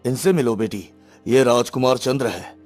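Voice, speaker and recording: A man voicing a proud, tender middle-aged king in a cartoon story, gentle and formal. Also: medium pitch, normal speed, faint music behind, close to an everyday voice. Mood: fatherly.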